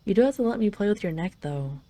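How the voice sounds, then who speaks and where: A woman's voice: mid-range voice, expressive, quick pace, dark tone, natural voice. A woman voicing a haughty dragon queen in an intimate roleplay drama, imperious and teasing, possessively fond of her captive. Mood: fond, domineering. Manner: imperious, teasing, intimate, slightly flustered when admitting affection. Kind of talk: roleplay audio drama addressed to the listener.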